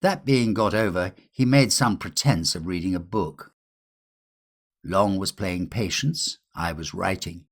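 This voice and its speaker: A man reading a literary ghost story in a measured, expressive way with dramatic pauses. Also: sits low. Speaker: audiobook reader.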